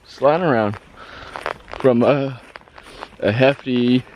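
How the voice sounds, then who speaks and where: A hoarse man vlogging casually while hiking, rambling and halting, with frequent laughs. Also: medium pitch, a little mellow, slight background noise, poor audio. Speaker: hiker vlogger. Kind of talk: casual trail vlog. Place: outdoors on a rural trail.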